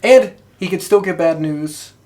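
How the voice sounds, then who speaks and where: A man's voice: a little high, measured pace, warm tone. A man co-hosting a podcast in casual, conversational discussion. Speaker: podcast co-host.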